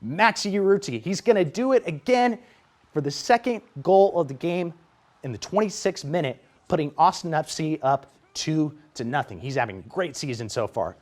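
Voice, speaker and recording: An American man working as a sports reporter, reading scripted highlight narration with energetic play-by-play delivery. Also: normal speed.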